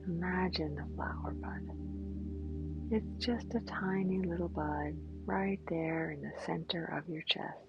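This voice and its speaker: A woman guiding a meditation in a gentle, soothing manner, with long pauses and a soft voice that turns breathy at times. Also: on the low side. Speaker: yoga teacher and meditation guide. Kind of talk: guided meditation. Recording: dull, lo-fi sound. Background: clear background music.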